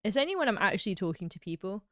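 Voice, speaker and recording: A woman's voice: mid-range voice, sing-song, very fast, fairly bright tone, dry recording. A British woman hosting a livestream who chats casually and giggly, reading viewer comments aloud. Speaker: livestream host. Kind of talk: casual chat reacting to live comments.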